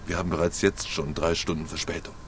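An actor in a radio drama playing a stolid train conductor who answers curtly and matter-of-factly. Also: male voice, sits low, level tone, fast talker, a little hiss or hum, natural voice. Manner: curt, matter-of-fact.

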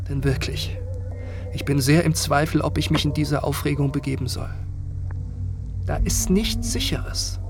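A lovesick man full of doubt, with a fairly high voice. He is formal, agitated and pleading, in the manner of a literary radio play. Music plays clearly behind him. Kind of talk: German literary radio drama acting.

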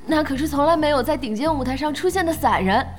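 A woman playing an outspoken, emotional young gaming fan in a radio drama, earnest and expressive. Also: high voice, fast. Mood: excited. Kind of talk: Chinese radio drama acting. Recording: music behind the voice.

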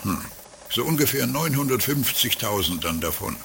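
A man's voice: mid-range voice, animated, moderate pace, creaky. A man playing a dry, bureaucratic customs officer in an amateur comedy radio play. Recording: slightly noisy 1980s radio play tape.

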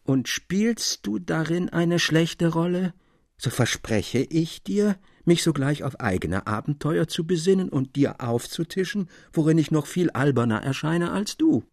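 A man's voice: on the high side, a little slow, dry recording, clean studio sound. A man narrating a literary tale for a radio production, expressively acting out the quoted speech as he goes. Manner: expressive, theatrical delivery.

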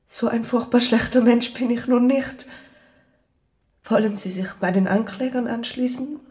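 An actress as a condemned young servant woman in a radio play, her voice high and full of feeling. She is pious, frightened and devoted, and she pleads from the heart. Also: unhurried, darkish tone, close to an everyday voice. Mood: anguished. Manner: emotional, pleading. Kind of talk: German radio drama acting.

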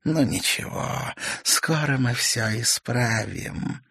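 A man voicing a scheming witch stepmother who speaks hoarsely, slowly and with cold sweetness. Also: mid-range voice, sharp tone, dry recording, studio quality, voice pushed up, exaggerated voice. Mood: gloating. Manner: slow, gloating, coldly sweet.

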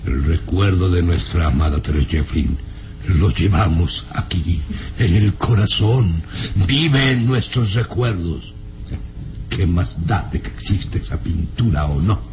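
An actor playing a stern, haughty old baron in a radio drama, speaking in a grave, formal, theatrical way. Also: male voice, sits low, measured pace, darkish tone. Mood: dismissive, solemn. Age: older.

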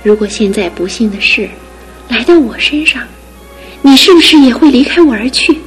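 Woman acting as a loyal young fiancée, high voice, earnest and pleading, faint music under it. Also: moderate pace, close to an everyday voice. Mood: resolute. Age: young adult. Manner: earnest and pleading, steady resolve. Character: devoted young fiancée, steadfast and comforting. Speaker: radio drama actress. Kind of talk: broadcast radio drama acting.

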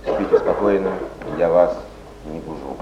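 An actor playing a sober chronicler and friend, narrating in a declarative, pointed stage manner. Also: male voice, low voice, measured pace, noisy background. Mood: grave. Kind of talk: dramatic narration. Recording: distant muffled echoey theatre recording, music behind.

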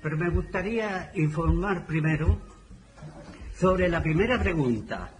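Un hombre con acento chileno que da su testimonio de forma didáctica, respondiendo a preguntas. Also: male voice, on the high side, expressive, a little slow, a little hiss or hum. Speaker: participant giving testimony. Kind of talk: spoken recollection answering questions.

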